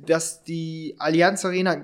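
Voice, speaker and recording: A man hosting a casual podcast chat, explaining things in a halting way and often rephrasing. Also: a little high, flat delivery, clear, resonant tone. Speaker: podcast host.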